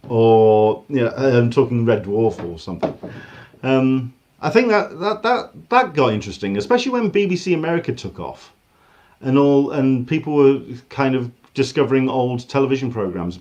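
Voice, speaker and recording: A man with an English accent talks through a casual livestream from his home, rambling and doubling back mid-sentence. His voice sits in an ordinary middle register and comes through a video call. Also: medium pitch. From England. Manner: rambling, restarts often, animated. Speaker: host. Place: home room. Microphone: video call with earphones.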